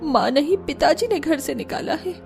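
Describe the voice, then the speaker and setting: A woman's voice: high voice, expressive, normal speed, vocal fry, darkish tone, faint music behind. A woman voicing an earnest, aggrieved young village wife in a cartoon. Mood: plaintive. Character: hard-pressed, aggrieved young village wife and daughter-in-law.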